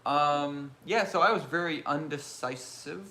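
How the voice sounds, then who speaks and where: A man in his thirties with a loud voice, hosting a casual live question-and-answer chat and rambling with self-mocking humor. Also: on the high side, unhurried. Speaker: channel host.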